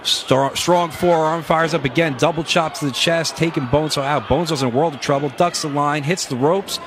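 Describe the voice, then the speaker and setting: Male play-by-play wrestling announcer, noisy. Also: a little high. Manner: rapid move-by-move calling, jokey asides. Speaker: wrestling commentator. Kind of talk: live play-by-play commentary.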